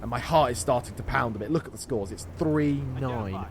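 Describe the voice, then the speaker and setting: Male gaming host, mid-pitched, running play-by-play in short bursts, clear noise behind the voice. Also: brisk. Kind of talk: gameplay commentary.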